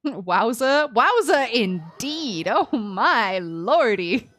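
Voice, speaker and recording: A woman's voice: on the high side, very animated, drawn-out words, a bit bright. A woman livestreaming a game with casual, playful, gushing commentary over game music. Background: video game music and dialogue.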